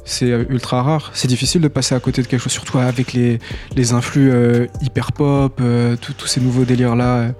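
A man talks casually as an interview guest. His mid-pitched voice stays fairly even, and his phrasing is slangy and self-correcting. Soft music sits faintly behind. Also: a little fast. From born in Qatar, lived in Tunisia, grew up in France from age six. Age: 20s. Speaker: rap artist being interviewed.

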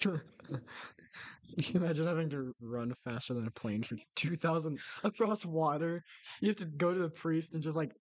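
A man's voice: fairly high pitch, normal speed, dry recording. Joking male podcast co-host, dry close sound. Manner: jokey, self-interrupting asides. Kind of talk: casual podcast explainer with joking banter.

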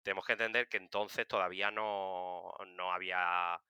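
A man in his thirties from Seville with a very bright, forceful voice, clean and crisp in a dry sound. He talks casually as an interview guest, telling stories and explaining things. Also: deep voice, fairly even intonation, normal speed, no echo. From Seville, Spain. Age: 30s. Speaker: interview guest, political science communicator. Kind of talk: casual conversational storytelling.

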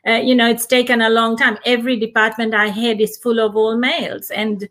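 A middle-aged woman from Fiji speaks in a fairly high voice, reflective and unhurried. She often restarts her thoughts and pauses on fillers as she talks things through. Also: level tone, fairly bright tone. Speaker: guest. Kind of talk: casual conversation.

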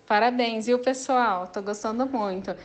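A woman from Brazil speaks with a São Paulo countryside accent and a rolled R. Her voice sits a little high. She sounds friendly and measured as she leaves a voice message. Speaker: listener sending a voice message. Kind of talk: recorded voice message.

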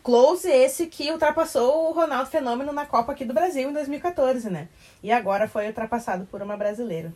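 A woman's voice: sits high, quick pace, darkish tone. A woman of 22, hosting a sports podcast chat. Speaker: podcast host.

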